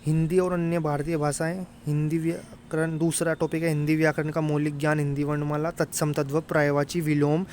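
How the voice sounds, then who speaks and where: A man's voice: on the high side, little pitch movement, brisk, few pauses, a little mellow, slight background noise. A young man informally explaining to students as an exam tutor, colloquial and often checking that they follow. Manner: colloquial, repeatedly addresses listeners as brother, checks understanding.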